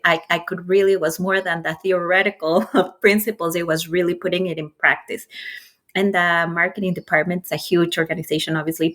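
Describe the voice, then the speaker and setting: A middle-aged woman from Ecuador with a Spanish accent tells her career story casually and animatedly as an interview guest. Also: fairly low pitch. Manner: animated, chuckles often between phrases. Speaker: interview guest, nonprofit marketing executive.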